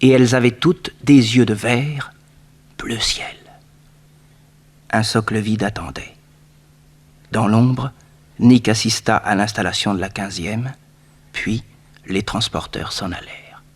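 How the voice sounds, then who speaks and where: A man's voice: lowish voice, breathy edge. Male narrator of a dramatic radio serial. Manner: measured dramatic storytelling.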